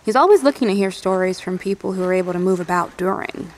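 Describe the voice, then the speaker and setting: An actress playing a helpful, matter-of-fact military lieutenant in an audio drama, speaking briskly and plainly. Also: female voice, medium pitch, slight background noise, lightly acted, poor audio. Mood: businesslike, curious. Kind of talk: naturalistic audio drama dialogue.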